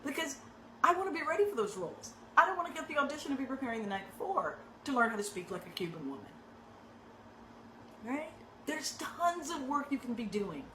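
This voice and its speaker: A woman acting coach giving direct, conversational tips to actors, often checking in with a quick "right?". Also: sits high, a little hiss or hum. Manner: conversational, frequent rhetorical "right?" tags; animated and emphatic. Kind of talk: direct teaching talk to actors. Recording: echoey room with faint ambience.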